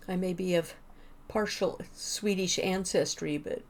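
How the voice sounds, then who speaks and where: Gravelly low-voiced woman streaming a game. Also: measured pace, darkish tone. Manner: chatty and rambling, thinks aloud. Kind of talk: casual gameplay chat with viewers.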